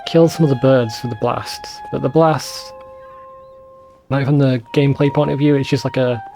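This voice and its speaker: A man's voice: mid-range voice, steady tone, normal speed, gravelly, warm tone, faint music behind. A man giving casual, sweary commentary over a video game in an informal, bemused way. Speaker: let's play video host.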